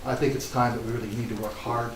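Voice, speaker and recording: A man candidate speaks softly but firmly, listing positions at a forum. His voice is off-mic in an echoing room. Clear background noise sits under it. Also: medium pitch, flat delivery, fast talker. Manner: assertive, soft-spoken, listing points. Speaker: political candidate. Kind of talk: candidate forum opening statement. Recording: roomy hall, distant mic, noisy.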